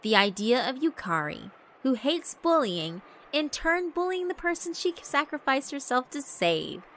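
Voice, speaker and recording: A woman's voice: high-pitched, very animated, a little slow, a bit bright, heavily acted. A woman voicing a scheming yokai sister who taunts and gloats in a theatrical, cackling manner. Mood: gleeful. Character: gleeful scheming yokai sister, cruel and mocking.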